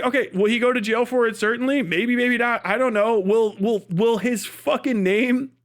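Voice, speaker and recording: A man's voice: high voice, a bit bright. A man giving casual livestream commentary, swearing often and stressing his points with emphatic repetition. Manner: profane, emphatic, opinionated, repeats words for stress. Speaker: livestream host.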